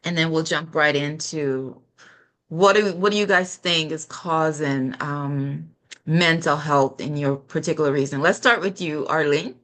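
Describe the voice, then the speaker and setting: A woman's voice: deep voice, a little slow, a bit bright. A middle-aged woman hosting an online panel show, speaking reflectively about health in spiritual terms. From Caribbean. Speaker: host.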